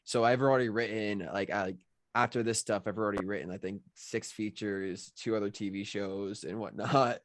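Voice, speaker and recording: A man's voice: on the low side, fairly even intonation, moderate pace, no room sound, studio quality. A man speaking casually and effusively as a writer in a group discussion, rambling as he gives thanks. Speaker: writer receiving feedback on his script.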